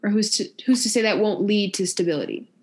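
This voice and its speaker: A woman's voice: mid-range voice, fairly quick, darkish tone, a little hiss or hum. A woman in her twenties talking reflectively in a casual discussion, hedging with fillers.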